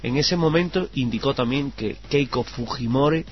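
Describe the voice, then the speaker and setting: A man's voice: mid-range voice, normal speed. A man reading scripted news items on a radio show. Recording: dull radio sound with music. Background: background music.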